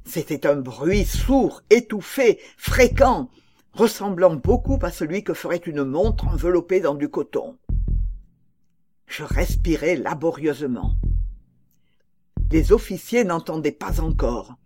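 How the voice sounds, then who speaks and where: A woman reading a gothic horror story as a guilt-maddened murderer, in a dramatic, deliberate manner. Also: low-pitched, expressive, dark tone, slightly rough, faint music behind, full character voice. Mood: uneasy, tense. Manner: dramatic, deliberate, building to frenzied cries.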